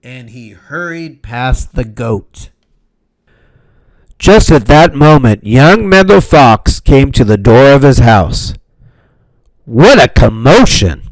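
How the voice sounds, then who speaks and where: A man reading a children's storybook aloud as host, in an expressive, measured and deliberate narrating style. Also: medium pitch, low-quality recording.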